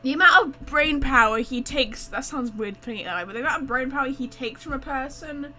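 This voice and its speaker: A woman giving casual gaming commentary in a high, bright voice. She is informal and reactive, thinking aloud over faint background noise. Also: expressive, a little fast. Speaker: gaming video host. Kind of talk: casual let's-play commentary.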